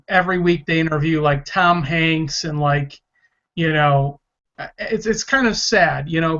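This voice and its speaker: A man's voice: fairly high pitch, bright, forceful tone. Eager thirty-something man, lo-fi sound. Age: 30s. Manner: enthusiastic, rambling with restarts. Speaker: podcast host. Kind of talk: casual podcast interview. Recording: dull lo-fi sound.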